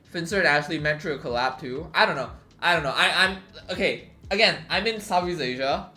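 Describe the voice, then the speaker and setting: A young man talks on a live stream in a rambling, offhand way, full of fillers and casual swearing. His voice is bright and slightly high for a man. Soft music plays underneath. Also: fairly quick. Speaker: livestreamer.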